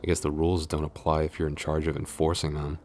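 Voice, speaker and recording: Casting: male actor as grumbling but smitten tsundere office boyfriend, extremely low voice, intimate and hesitant ASMR roleplay delivery, audible background noise. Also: monotone, quick pace, darkish tone. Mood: flustered scolding. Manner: hesitant, mock-scolding, intimate.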